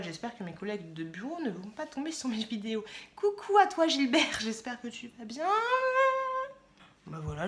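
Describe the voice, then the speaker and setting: A woman with a high-pitched voice rambles through a casual vlog. She is playful and chatty, poking fun at herself and repeating phrases over and over. Clear background noise runs through the homemade recording. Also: a bit bright. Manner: playful, chatty, self-mocking. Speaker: vlogger.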